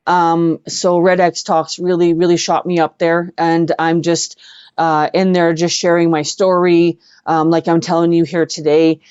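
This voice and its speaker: A middle-aged woman with a western Canadian accent, telling personal stories as an interview guest in an earnest, halting way, repeating words for emphasis. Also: on the low side, flat delivery, a little mellow, smooth, dry recording, highs cut off. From Edmonton, Canada. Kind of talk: personal storytelling in a live online interview.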